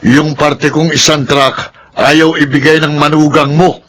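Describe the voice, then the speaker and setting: Confrontational, aggrieved uncle, slightly high male pitch, insistent, radio drama. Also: level tone, a little slow, fairly bright tone. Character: pushy, aggrieved uncle demanding his share at the port. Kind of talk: Filipino radio drama acting.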